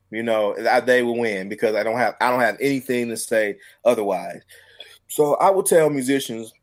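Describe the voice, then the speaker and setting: A man with an American accent casually telling stories and giving advice in an emphatic, colloquial way as a guest musician. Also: mid-range voice. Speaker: musician and producer, podcast guest.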